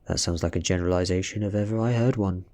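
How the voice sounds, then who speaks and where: A British man playing an irritable hard-drinking male teacher of thirty, stern and defensive yet soft-spoken, in an audiobook reading. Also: low voice, quick pace.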